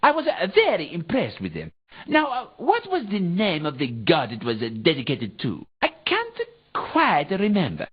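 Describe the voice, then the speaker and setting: Absent-minded, husky, low-pitched bookish inventor. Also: female voice, huge pitch swings, normal speed, exaggerated voice. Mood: hesitant, musing. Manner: hesitant, fussy, scholarly. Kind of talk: video game dialogue. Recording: dull, lo-fi game audio.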